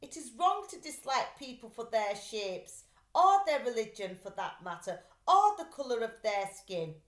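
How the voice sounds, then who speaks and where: A woman's voice: fairly high pitch, big pitch swings, a little slow. A woman voicing a principled, kindly mother in a children's storybook, emphatic and moralising. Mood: indignant. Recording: roomy, echoey sound.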